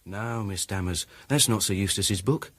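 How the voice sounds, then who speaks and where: A man's voice: on the low side, moderate pace, a bit bright. A man playing a polite, matter-of-fact manservant in a radio drama, with a dry manner. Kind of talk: British radio drama acting.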